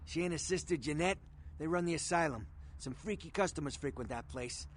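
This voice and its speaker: A male actor voices a streetwise underworld dealer in a video game. He talks in matter-of-fact tough-guy slang, pitched a little high for a man. A faint noise runs underneath. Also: a little fast, natural voice. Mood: confiding, matter-of-fact. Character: streetwise shady male fixer and weapons dealer. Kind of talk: video game dialogue acting.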